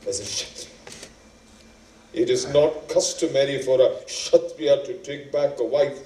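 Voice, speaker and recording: A man playing a stern, noble epic prince and husband, speaking in grave, measured, halting phrases. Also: mid-range voice, dark tone, echoey room, recorded from a distance, lightly acted, poor audio.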